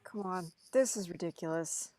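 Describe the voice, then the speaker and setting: A woman's voice: fairly low pitch, moderate pace, mellow, no echo, close to an everyday voice. A woman playing an awkward, reluctant, exasperated young man in an amateur script reading, flustered and protesting.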